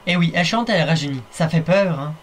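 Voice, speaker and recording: A man's voice: fairly high pitch, expressive, quick pace. A man narrating a fan-dubbed fairy tale in a playful, wry way, speaking straight to the viewer. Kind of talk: fan-dub storytelling narration.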